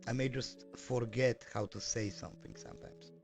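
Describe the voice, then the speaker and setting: A man's voice: mid-range voice, fairly even intonation, normal speed, creaky, soft background music. A man streaming and chatting casually as he works, hesitant with lots of fillers and self-corrections. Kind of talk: casual live-stream chat while working.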